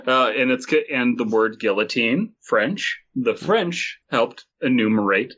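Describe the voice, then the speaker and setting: A man's voice: mid-range voice, a little slow, fairly bright tone, muffled recording. A man co-hosting a comedy podcast, chatting and reading aloud with mock-outrageous jokes and rambling restarts. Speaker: podcast co-host.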